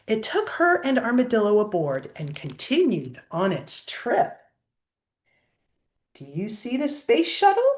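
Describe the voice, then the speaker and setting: A woman's voice: a little high, wildly swooping pitch, measured pace. An older woman reading a children's picture book aloud expressively, like a grandmother pointing out pictures to a child.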